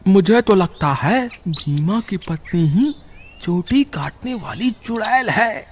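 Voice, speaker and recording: A man playing a suspicious, gossiping villager in a horror cartoon, with an accusing, drawn-out delivery. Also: sits high, expressive, heavily acted.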